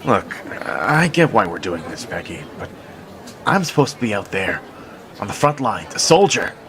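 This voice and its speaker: A man's voice: fairly low pitch, sing-song, normal speed, a bit bright. A man playing an earnest, grumbling, self-deprecating young soldier in an audio drama. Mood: frustrated, sarcastic.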